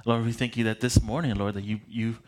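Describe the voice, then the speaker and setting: A man's voice: medium pitch, flat delivery, a little fast, darkish tone. Young man preaching, casual and restless. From Michigan. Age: late 20s. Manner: casual and self-deprecating, with frequent restarts and chuckles; paces around while preaching. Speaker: pastor. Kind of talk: prayer and sermon introduction.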